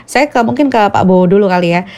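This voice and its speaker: A woman's voice: medium pitch, expressive, fast talker, a little mellow. A woman moderating a panel discussion, attentive and affirming, putting questions to the guests. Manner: attentive, with frequent affirming murmurs.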